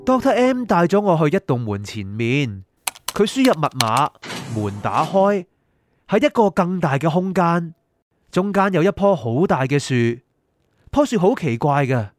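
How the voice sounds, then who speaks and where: A man's voice: fairly high pitch, big pitch swings, measured pace. A man playing a recently dead, reflective young man at peace with letting go, in a radio drama. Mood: narrating. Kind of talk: Cantonese radio drama acting and first-person narration.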